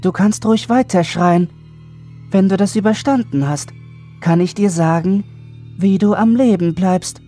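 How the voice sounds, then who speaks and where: Unnervingly calm, slow-speaking boy played by a woman. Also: medium pitch, soft background music, natural voice. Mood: coolly reassuring. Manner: measured, matter-of-fact, unnervingly adult. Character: calm, self-assured, eerie supernatural young boy. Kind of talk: horror audio drama dialogue.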